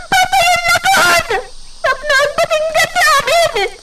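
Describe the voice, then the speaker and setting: Hysterical distraught woman, Ilocano accent, piercingly high, off-mic, radio drama. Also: a little slow, over loud music. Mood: anguished, hysterical. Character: distraught, shrieking woman refusing to be coaxed. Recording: very noisy, distant from the mic.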